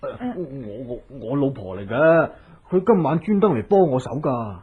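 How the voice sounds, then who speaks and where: A timid, devoted household cook in a Hong Kong Cantonese radio drama. His voice is rough and husky and swings widely in pitch as he sighs and hesitates deferentially. The sound is boxed-in and narrow, like an old radio broadcast. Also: lively intonation, moderate pace. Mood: nervous, deferential. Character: timid, doting male household cook, eager to please. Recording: muffled narrow-band old radio recording.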